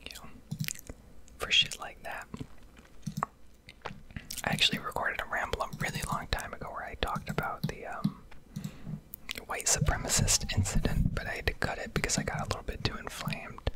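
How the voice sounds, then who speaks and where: A young American woman from Connecticut whispering a blunt, sweary, rambling ASMR rant in a breathy, unvoiced voice. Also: normal speed, long pauses, low-quality recording. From Connecticut, United States. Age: young adult. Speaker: ASMR streamer.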